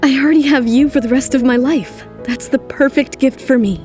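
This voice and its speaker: A woman's voice: high voice, a little fast, a little mellow, soft background music, lightly acted. A woman voicing a confident, loving but defiant young model in a romantic audio drama, poised and assertive. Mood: loving, teasing.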